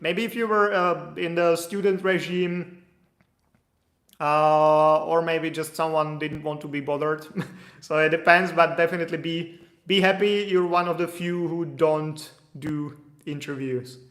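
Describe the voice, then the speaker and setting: A man's voice: on the high side, steady tone, unhurried, fairly bright tone. Chatty man answering questions, echoey room. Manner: casual, hedging, reads questions aloud then explains. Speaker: immigration consultant answering viewer questions. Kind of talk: live stream Q&A.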